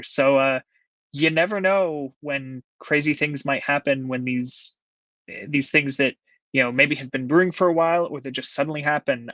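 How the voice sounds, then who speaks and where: A man in a casual podcast chat, talking earnestly and thoughtfully, pausing often on fillers. His voice sits at an ordinary pitch. The audio is a little muffled and dry. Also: fairly bright tone, no echo. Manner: earnest, reflective, many fillers. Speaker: podcast co-host.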